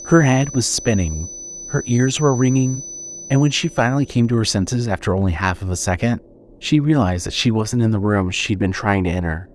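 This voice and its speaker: A man's voice: fairly low pitch, moderate pace, slight background noise. A man narrating a scripted horror story as the narrator of an audio drama.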